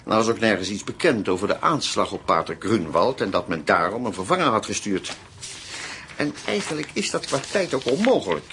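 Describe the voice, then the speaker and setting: A man's voice: on the low side, very animated, a bit bright. A man playing a dutiful, matter-of-fact police commissioner reporting findings in a radio drama. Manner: factual, measured. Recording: radio play with noticeable background noise.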